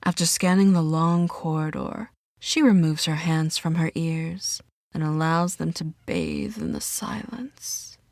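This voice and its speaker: A woman narrating a scripted horror story with a measured, dramatic delivery. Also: deep voice, lively intonation, a little mellow, no room sound, clean studio sound. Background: music.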